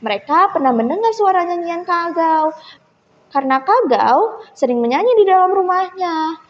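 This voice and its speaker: A young teenage girl, telling a scripted children's story expressively as a contestant. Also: high voice. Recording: muffled echoey hall recording.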